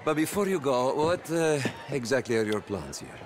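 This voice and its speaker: An actor playing a sneering middle-aged Italian crime boss in a video game, with an Italian accent, chuckling through contemptuous remarks. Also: male voice, mid-range voice, animated, normal speed, noisy background, close to an everyday voice. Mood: sly.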